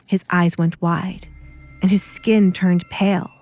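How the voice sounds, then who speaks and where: A woman's voice: lowish voice, level tone, slow pace, a little mellow. A woman reading scripted story narration for an audio drama.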